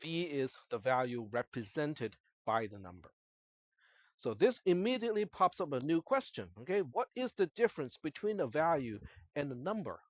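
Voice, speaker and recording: A male college instructor whose native language is Cantonese explains material to his class. His voice sits a little high for a man, and he often tacks on short questions to check understanding. The sound is dry and slightly dull. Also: sing-song, normal speed, no echo. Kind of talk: explanatory classroom lecture to students. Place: classroom, screen-recorded lecture.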